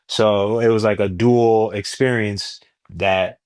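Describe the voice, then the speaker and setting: A young man with a New Jersey accent and a fairly low voice, chatting as an interview guest. He talks loosely with slang and gets animated as he tells stories. The sound is dry and close. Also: takes it slowly, no room sound. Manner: laid-back, slangy, animated when telling stories. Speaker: guest, stand-up comedian. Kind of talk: casual interview chat.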